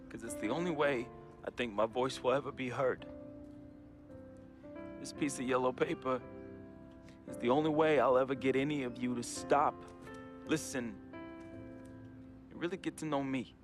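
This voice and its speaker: A male actor plays a troubled young man in a dramatic game cutscene, reading out a farewell letter. His delivery is solemn and deliberate, a grieving confession at a middling pitch, with music playing clearly underneath. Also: fairly even intonation, lightly acted. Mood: solemn, reflective. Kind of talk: dramatic first-person monologue.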